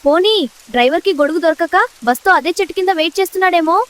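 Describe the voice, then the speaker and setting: Woman as high-pitched, fast-talking mischievous little boy. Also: noisy background. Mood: insistent, defensive. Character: Chintu, mischievous eight-year-old boy spinning tall tales. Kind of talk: children's comedy cartoon acting.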